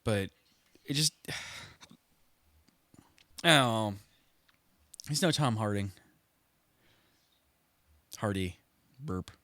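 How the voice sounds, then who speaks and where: A man's voice: on the low side, lively intonation, drawn-out words, long pauses, bright, forceful tone, no echo. A man co-hosting a podcast in casual chat, congested with a head cold, joking and laughing often. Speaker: podcast co-host.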